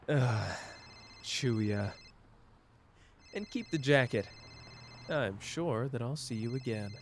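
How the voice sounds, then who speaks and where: A man's voice: medium pitch, slight background noise. A man playing a teasing young detective. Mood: gentle teasing. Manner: playful, teasing, jumps between soft and excited. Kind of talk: ASMR roleplay.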